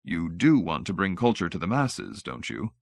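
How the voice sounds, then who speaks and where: A man's voice: lowish voice, lively intonation, normal speed, a bit bright, no room sound, studio quality. A man voicing a fussy, pompous old research director in an audiobook, idealistic and grand in manner but timid. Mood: timid, uncertain.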